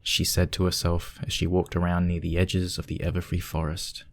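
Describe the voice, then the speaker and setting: A man's voice: sits low, monotone, moderate pace, no room sound. A man reading scripted narration for a spooky fan fiction story.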